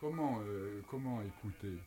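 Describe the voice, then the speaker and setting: A man's voice: on the low side, normal speed, mellow, slight background noise. A man speaking reflectively and hesitantly, searching for words in an experimental radio piece.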